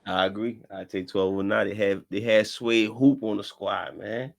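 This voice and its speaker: Low-voiced man steering a sports chat. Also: moderate pace, creaky. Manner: relaxed, repeats words, steers the topics. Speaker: podcast co-host. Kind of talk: casual sports debate chat.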